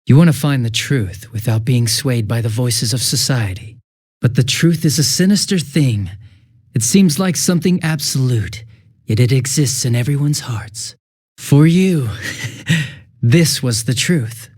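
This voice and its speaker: An actor playing a smug, two-faced young police detective in a video game, casual and sarcastic with a taunting, cruel edge. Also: male voice, mid-range voice, animated, measured pace, a little mellow, slightly rough. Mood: cold, menacing. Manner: casual, sarcastic, taunting, shifting from breezy to menacing. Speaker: police officer.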